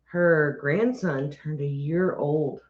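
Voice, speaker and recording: A woman's voice: low voice, slow pace. A woman co-hosting a homestead livestream, chatting casually and rambling through restarts. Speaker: homestead channel co-host. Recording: roomy, dull livestream audio.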